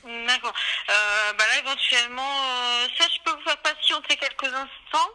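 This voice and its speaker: A woman's voice: high voice, fairly even intonation, moderate pace, sharp tone, smooth. A woman working as a vet clinic receptionist, answering a phone call in a matter-of-fact, procedural way. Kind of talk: customer service phone call. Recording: noisy phone call with clicks.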